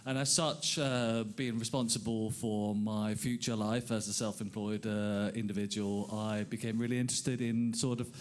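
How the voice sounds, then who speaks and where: A middle-aged man speaking as a conference panelist, pausing often with fillers as he thinks aloud. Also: lowish voice, flat delivery. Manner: halting, many filler pauses. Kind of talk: panel discussion.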